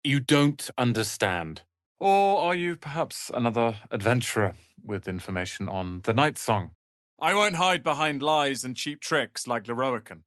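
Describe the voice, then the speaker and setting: Haughty young wizard apprentice for a fantasy game, prickly n clipped, theatrical, really dry recording. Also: male voice, medium pitch, expressive, a little slow, fairly bright tone, dry recording. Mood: resolute. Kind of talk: dramatic fantasy video game voice acting.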